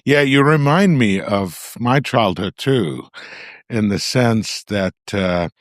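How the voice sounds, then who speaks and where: A man from Austria hosting a podcast interview in a studio, asking questions in a measured, unhurried way. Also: lowish voice, animated, no room sound. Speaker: podcast host. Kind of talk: casual interview.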